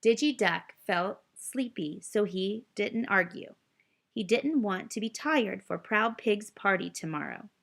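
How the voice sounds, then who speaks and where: Woman storyteller, unhurried pace, mid-range pitch, expressive children's read-aloud narration. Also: creaky. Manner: expressive, addressing young listeners with questions. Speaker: storybook reader.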